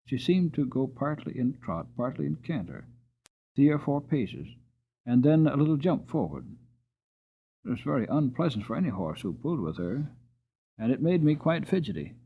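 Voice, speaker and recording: A man reading a storybook aloud as a dramatic, expressive audiobook narrator telling a tale in the first person. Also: medium pitch, slightly rough, phone-quality sound. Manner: expressive, slowing on sad passages.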